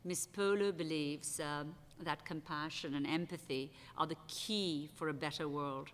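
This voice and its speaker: A woman's voice: low-pitched, fairly even intonation, measured pace, slight background noise. A woman chairing a conference panel from a table on a large stage, talking conversationally with frequent restarts. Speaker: session chair. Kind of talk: panel moderation at an academic conference. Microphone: table microphone. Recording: long reverb of a large hall.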